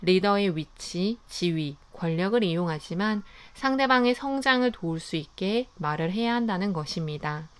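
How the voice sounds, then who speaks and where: A woman hosting a book review, reading her summary and thoughts in a calm, even, scripted way. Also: fairly low pitch, moderate pace, hardly any pauses, smooth.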